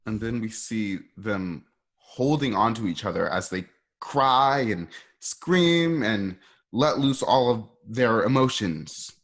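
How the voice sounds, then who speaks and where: Male narrator, lowish voice, informal and halting, setting scenes for a radio play. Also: animated. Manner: halting, improvised phrasing. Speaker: narrator reading stage directions.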